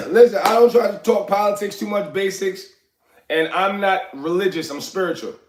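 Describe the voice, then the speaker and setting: A man in his forties from Liberia talks casually on a livestream from his apartment, drifting between topics and replying to chat. His voice sits a touch high, and he sounds as if he is still shaking off an illness. The room around him is echoey. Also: moderate pace. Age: 40s. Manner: loose, rambling, answers chat by name. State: recovering from illness, coughing. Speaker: livestream host.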